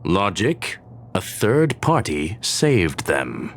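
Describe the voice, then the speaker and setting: An actor playing a courteous, coolly logical genius ruler in a video game, with formal, analytical phrasing. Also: male voice, low voice, sing-song, extremely slow, a little hiss or hum, full character voice. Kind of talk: scripted video game cutscene dialogue.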